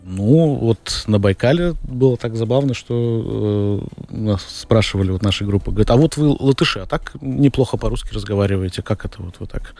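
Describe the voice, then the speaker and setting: A man from Latvia, a film director, answering interview questions thoughtfully, with frequent pauses and fillers. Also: on the low side, vocal fry, warm tone, faint music behind. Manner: thoughtful, hesitant, many fillers and restarts. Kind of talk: radio interview.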